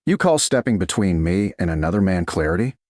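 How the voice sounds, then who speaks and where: A man voicing a proud, challenging noblewoman in an audiobook romance, quiet and pressing in manner. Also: sits low, big pitch swings, a little fast, dry recording. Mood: pressing, tense. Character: proud, challenging medieval noblewoman resisting attraction.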